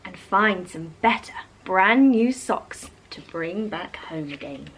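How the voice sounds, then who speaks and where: Slow, animated woman reading a picture book. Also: fairly low pitch, a little hiss or hum, poor audio. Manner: animated, addresses young listeners. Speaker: children's author and storyteller. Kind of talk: expressive read-aloud of a rhyming picture book.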